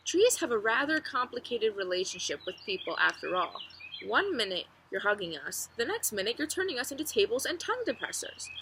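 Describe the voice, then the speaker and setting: A woman's voice: on the high side, bright, forceful tone. A woman voicing a friendly, proud oak tree with wry, chatty humour in a children's storybook read-aloud. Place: outdoors among trees. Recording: outdoor ambient noise, faint birdsong.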